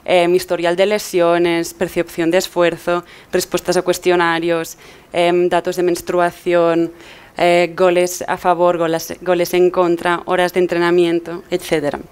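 Clear-voiced young woman presenting. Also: on the low side, completely flat delivery, measured pace. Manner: hesitant, often seeking agreement with tag questions. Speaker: conference speaker. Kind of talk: explanatory technical presentation. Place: medium-sized indoor event room with seated audience.

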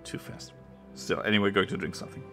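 Casting: German-accented male gamer, very low gravelly voice, chatty, searches for words, faint music under him. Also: steady tone, quick pace, bright, forceful tone. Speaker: gameplay streamer. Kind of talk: casual let's-play commentary.

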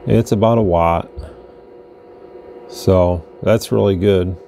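A man's voice: sits low, fairly even intonation, takes it slowly, creaky, mellow. A man giving a casual, unscripted hands-on product demonstration at an indoor workbench, talking as he goes. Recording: noticeable background noise.

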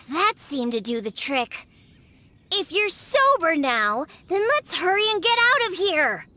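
A woman's voice: extremely high pitch, big pitch swings, slow pace, bright, forceful tone, no echo, heavily acted. A woman voicing a small, exasperated young cat-eared daughter in a video game, scolding and matter-of-fact. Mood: resigned, teasing.

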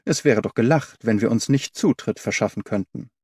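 A man's voice: medium pitch, normal speed, a little mellow, breathy edge, no echo, studio quality. A man voicing a brilliant, confident detective in an audiobook, explaining in an assured, didactic way. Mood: brisk, commanding. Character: brilliant male detective, confident, analytical, commanding.

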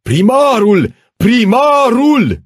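Storybook role: demanding peasant rebels voiced by one male reader, furious, chanted shouts pitched far up. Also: big pitch swings, breathy edge, dry recording, studio quality, heavily acted. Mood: roaring anger. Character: angry rebellious peasant mob, demanding, yelling.

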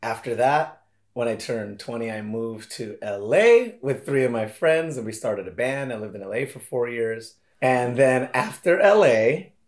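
Male, late 30s, American, from Hawaii. Medium register, casual step-by-step personal storytelling. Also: medium pitch. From Hawaii, United States. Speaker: interviewee. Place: indoor living room, seated in armchair.

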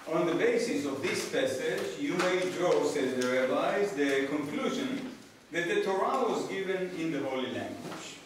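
A man in his sixties with an Israeli accent lectures in a very echoey room, heard from well away. His voice sits fairly high, and as a native Hebrew speaker he delivers his material with wry, deadpan humor. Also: normal speed, recorded from a distance. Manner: wry, joking asides in a deadpan way. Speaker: professor, guest lecturer. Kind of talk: public lecture. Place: small lecture room with seated audience, indoors. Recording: echoey room from afar, noisy background.

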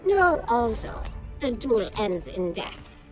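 Wary insectoid woman for a fighting game: slow, expressive pitch swings, formal, distrustful. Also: soft background music. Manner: deliberate, formal. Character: distrustful female insectoid servant of an emperor. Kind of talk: video game cutscene acting.

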